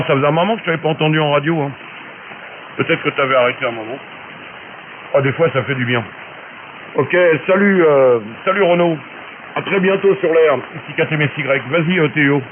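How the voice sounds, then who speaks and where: A man talking as an amateur radio operator over shortwave, giving casual technical advice in a chatty, colloquial way. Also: fairly high pitch. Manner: colloquial, chatty explaining, frequent tag words. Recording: narrow hissy noisy shortwave radio transmission.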